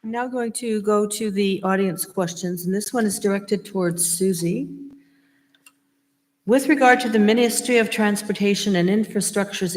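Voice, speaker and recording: A woman moderating a candidates meeting, reading audience questions in a measured way. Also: mid-range voice. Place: church hall. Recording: long reverb of a hall.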